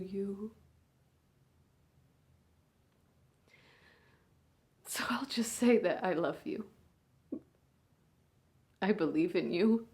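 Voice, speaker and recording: A woman performing a monologue as a weary, grieving big sister speaking at her younger sister's bedside, moving between hushed whispers and raised anger. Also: medium pitch, pitched above the performer's own voice. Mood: tender, hushed.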